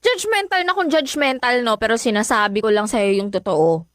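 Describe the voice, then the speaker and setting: A blunt, judgmental girlfriend-confidante in radio-drama style. Her high voice swoops dramatically as she scolds, recorded close and dry. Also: animated, moderate pace, fairly bright tone, dry recording. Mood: scolding. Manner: scolding, sassy. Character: blunt, judgmental female best friend giving warnings. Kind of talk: Filipino radio drama acting.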